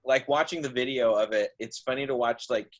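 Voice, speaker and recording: A man's voice: mid-range voice, brisk. A man hosting an informal group chat, talking in a casual, rambling way. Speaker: host of a virtual cast panel, puppet show writer.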